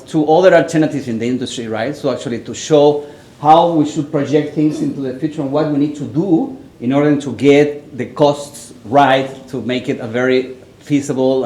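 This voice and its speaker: A man's voice: mid-range voice, moderate pace, warm tone. A man from Chile with a Chilean Spanish accent, a native Spanish speaker presenting a research project at a conference, talking in a conversational way with frequent "right?" and "actually". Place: large echoey conference room. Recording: echoey hall with faint noise.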